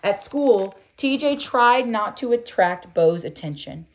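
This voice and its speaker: Slow, animated woman reading a storybook. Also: on the high side. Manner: slow, deliberate, emphatic phrasing. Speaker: storyteller reading a children's book aloud. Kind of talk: expressive read-aloud narration for children.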